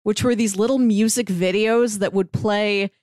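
A woman's voice: mid-range voice, fairly even intonation, moderate pace, darkish tone, dry recording, studio quality. A woman co-hosting a podcast, talking in a chatty, informal way. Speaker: podcast co-host. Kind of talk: casual podcast chat.

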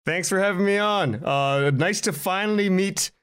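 A man's voice: high voice, moderate pace, a bit bright, clear, resonant tone. A man, a podcast guest telling anecdotes in a casual, self-deprecating way.

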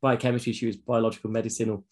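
A man's voice: mid-range voice, level tone, racing, a little mellow. A man hosting a podcast interview, asking friendly, conversational questions. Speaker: podcast host.

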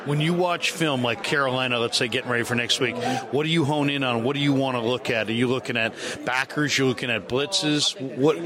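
Male American sports reporter, conversational interviewer, mid-range voice, recorded amid clear locker-room chatter. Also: mid-range voice, a little fast. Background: crowd chatter.

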